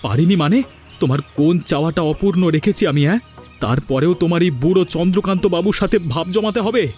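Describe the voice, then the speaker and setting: Man playing a high-pitched, aggrieved village husband. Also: fast, darkish tone, faint music behind, natural voice. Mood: disgusted, angry. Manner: dramatic, lamenting. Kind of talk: Bengali cartoon voice acting.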